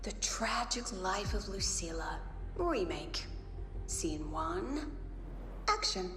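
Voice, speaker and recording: Echoing, slow, imperious female director. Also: medium pitch, big pitch swings. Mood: cold, declamatory. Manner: measured, imperious. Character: aloof, theatrical female director passing judgment. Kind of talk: theatrical announcement. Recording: cavernous long reverb, music behind. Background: music.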